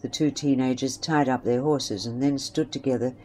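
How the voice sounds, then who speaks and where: Low-pitched woman narrator, faint background noise. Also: steady tone, normal speed, warm tone, low-quality recording. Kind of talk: scripted story narration.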